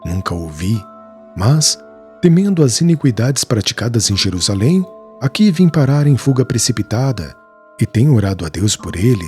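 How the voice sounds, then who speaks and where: A man reading an audiobook, voicing a humble, devout older male weaver who speaks slowly and earnestly. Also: medium pitch, a little mellow, pitched below the performer's own voice, close to an everyday voice.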